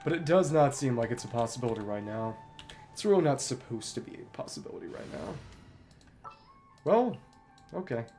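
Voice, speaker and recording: A man giving casual solo commentary as a game streamer, musing aloud in short, halting remarks. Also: mid-range voice, sing-song, mellow, soft background music.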